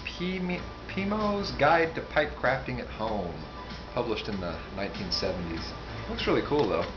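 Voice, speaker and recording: A man giving a casual, unscripted book review, rambling and halting, with asides that trail off. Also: medium pitch, lively intonation. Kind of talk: casual, unscripted talk to camera. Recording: dull sound with music behind. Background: music.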